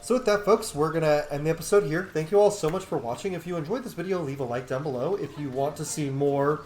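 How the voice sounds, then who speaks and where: A man's voice: a little high, fast, a little mellow. A man hosting a gaming video, giving casual playthrough commentary and role-playing along. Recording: roomy sound with faint game music. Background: game music.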